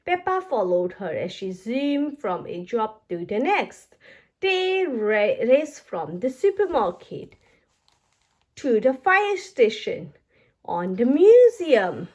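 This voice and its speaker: A woman reading a children's storybook aloud in an expressive way, as a narrator for young children. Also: fairly high pitch, slow.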